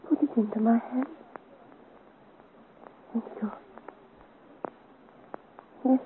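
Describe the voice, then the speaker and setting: Slow, frail dying young woman. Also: high voice. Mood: weary. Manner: halting, weak, broken phrases. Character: frail dying young woman, poisoner's daughter, mournful and resigned. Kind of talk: 1950s radio serial acting. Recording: scratchy, tinny 1950s radio recording.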